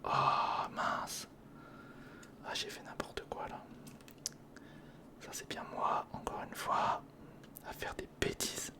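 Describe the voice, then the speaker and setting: An ASMR creator, speaking in a breathy whisper close to the microphone, casually talking through hands-on work and repeating short phrases. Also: takes it slowly, long pauses. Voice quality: breathy, mostly unvoiced whisper. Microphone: close studio recorder microphone.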